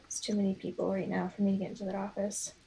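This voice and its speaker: A woman's voice: mid-range voice, flat delivery, quick pace, vocal fry, dark tone, slight background noise, poor audio. A woman playing a tabletop roleplaying game, chatting casually and hesitantly as she thinks aloud.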